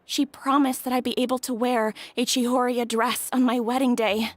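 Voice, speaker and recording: A woman's voice: a little high, level tone, normal speed, no room sound, lightly acted. A woman playing an earnest, grateful young bride-to-be in a video game, telling her story hesitantly.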